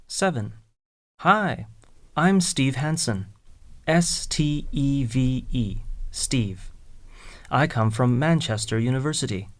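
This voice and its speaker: A man reading scripted lines for a listening exercise in an even, clearly enunciated way. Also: mid-range voice, slow, no room sound.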